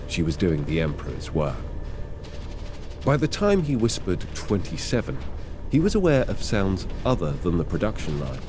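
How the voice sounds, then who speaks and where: A man performing scripted dramatic narration of a grim science fiction story in a measured, deliberate way. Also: deep voice.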